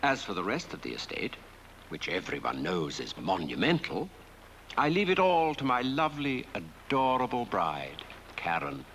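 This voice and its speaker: An actor playing a smug, wealthy dead husband who reads out his will in a theatrical, tender, drawn-out way. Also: lowish voice, wildly swooping pitch, fairly bright tone, a little hiss or hum, heavily acted. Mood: grand, savouring. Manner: theatrical, savouring, drawn-out delivery. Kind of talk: film drama monologue.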